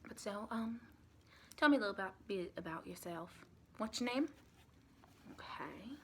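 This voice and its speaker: A woman playing a sweet, chatty vampire lady with a Southern US accent, soft-spoken and partly whispering in a rambling, reassuring ASMR roleplay. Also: mid-range voice, animated, moderate pace, a little hiss or hum, close to an everyday voice. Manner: folksy, rambling, reassuring, doting.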